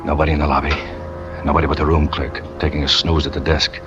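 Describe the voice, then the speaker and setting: A man's voice: rumbling low, flat delivery, quick pace. An actor playing a tormented, jealous man who narrates his crime with brooding intensity, in 1940s radio drama style. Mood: brooding, tense. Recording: muffled old radio recording with music.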